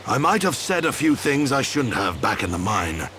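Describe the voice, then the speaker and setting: A solemn army leader in a fantasy game, formal in speech yet very varied in intonation. Faint ambience lies behind him. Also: male voice, mid-range voice, sing-song, normal speed, fairly bright tone, a little hiss or hum. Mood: solemn, grateful. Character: formal, dutiful male military leader, humbly grateful. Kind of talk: video game cutscene acting.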